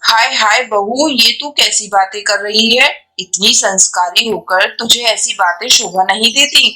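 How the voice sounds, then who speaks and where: A woman's voice: a little high, unhurried, pushed and bright, low-quality recording. A woman voicing an older, exasperated mother-in-law pigeon in a cartoon story, with a dramatic, scolding manner. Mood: dismayed. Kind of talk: Hindi animated moral story acting.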